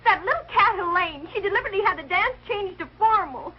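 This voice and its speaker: A woman's voice: very high voice, lively intonation, fast talker, very noisy. A young actress playing a dramatic, earnest American teenage daughter in a 1940s TV sitcom, girlish and melodramatic. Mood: distraught. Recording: muffled 1940s television soundtrack.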